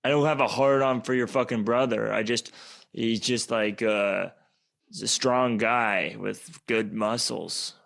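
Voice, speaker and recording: A man playing a crude, sneering young slacker with halting, foul-mouthed banter in an amateur radio play. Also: on the low side, steady tone, gravelly, fairly bright tone. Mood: casual. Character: crude, sneering stoner slacker guy, bickering with his buddy.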